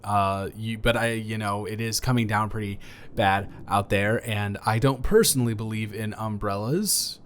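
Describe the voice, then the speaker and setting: Rambling, low-voiced young man next door, acted by a man. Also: fairly even intonation, slight background noise, close to an everyday voice. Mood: relaxed, rambling. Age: young adult. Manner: rambling, halting, self-correcting, awkwardly casual. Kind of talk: improvised romantic roleplay audio, casual chat.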